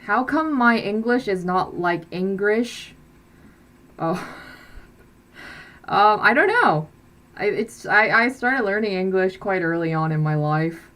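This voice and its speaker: A woman's voice: mid-range voice, lively intonation, slow pace, pauses often, a bit bright. A young woman from South Korea, a native Korean speaker, chatting casually on a live stream from her bedroom, rambling with many fillers and restarts. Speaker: livestream host. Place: bedroom with blackout curtain.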